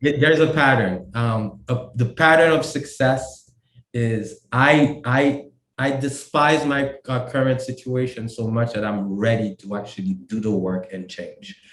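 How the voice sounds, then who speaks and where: A man from Haiti, a company co-founder, explaining his work in a casual interview, halting with many fillers and restarts. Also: mid-range voice, steady tone, fairly bright tone, reverberant. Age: adult.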